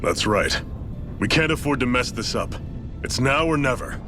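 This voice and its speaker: An actor playing a confident, steady male resistance leader in a video game. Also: medium pitch, normal speed, music in the background, natural voice. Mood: determined.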